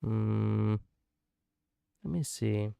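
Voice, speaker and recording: Smooth-voiced man musing casually. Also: fairly low pitch, very slow, mellow, no room sound, studio quality. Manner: musing, self-deprecating, laughs at own slips. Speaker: VTuber streamer. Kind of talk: casual reflective chat.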